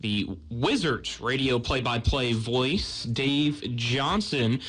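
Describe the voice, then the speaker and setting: American man hosting sports radio, medium pitch. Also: expressive, slow, a bit bright. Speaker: radio sports show host. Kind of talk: conversational radio interviewing.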